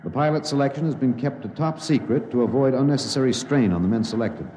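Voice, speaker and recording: An American man playing an earnest radio newsman, reporting gravely and formally in a 1950s radio drama. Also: mid-range voice, fast talker, warm tone, lightly acted. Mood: urgent, formal. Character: earnest network radio newsman narrating a space rescue. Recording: faintly noisy 1950s radio recording.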